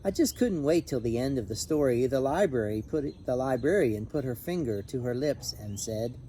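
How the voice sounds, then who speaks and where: A man reading a children's picture book aloud in an expressive, animated, emphatic way. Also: medium pitch, moderate pace, slight background noise. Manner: animated, emphatic on repeated refrain. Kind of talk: expressive storybook read-aloud with brief asides.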